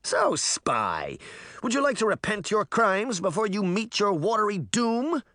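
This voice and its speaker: A cocky, mischievous boy acted out by a man in a storybook reading. His delivery is mock-dramatic and taunting, swooping widely in pitch, and the sound is dry and close. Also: sing-song, a little slow, sharp tone, dry recording, full character voice. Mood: taunting, menacing.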